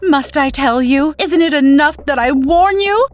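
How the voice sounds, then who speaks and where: A woman playing a frightened, insistent scientist, pleading and halting in old-time radio horror style. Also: high-pitched. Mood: urgent pleading.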